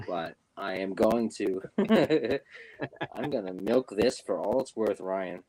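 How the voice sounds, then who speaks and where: Husky young man chatting loosely. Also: on the low side, lively intonation, slow pace, dark tone, poor audio. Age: young adult. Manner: rambling, lots of fillers and restarts. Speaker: guest. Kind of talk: casual chat. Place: New York.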